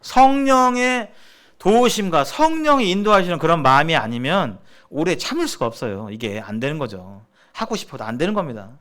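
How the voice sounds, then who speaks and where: A middle-aged man from South Korea teaching in a sermon-like seminar style, explaining haltingly and restating his phrases as he goes. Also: a little high, sing-song, a bit bright. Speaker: church seminar preacher.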